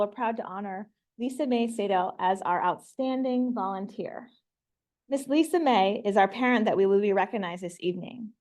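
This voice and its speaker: Woman presenting a formal award tribute. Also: on the high side. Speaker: award presenter at school board meeting. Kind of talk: formal prepared tribute speech. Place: meeting room, speaking at a microphone.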